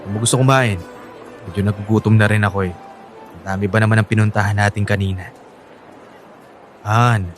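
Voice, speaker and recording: An actor playing an upbeat, reassuring young husband in a Filipino radio drama, coaxing and encouraging with a Filipino accent. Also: male voice, fairly low pitch, steady tone, brisk, pauses often, close to an everyday voice. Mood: casual, tender. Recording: music bed under the voice.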